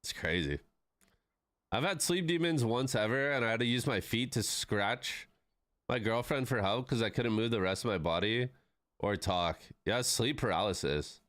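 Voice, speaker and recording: A man's voice: medium pitch, animated, normal speed, a bit bright, dry recording. A man in his thirties chatting casually with his live-stream viewers, laid-back and swearing freely. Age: 30s.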